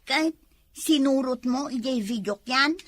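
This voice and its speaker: A woman's voice: high voice, measured pace, fairly bright tone, no echo. A woman acting a suspicious, hot-headed village wife in a comedy drama, with an Ilocano accent. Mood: agitated.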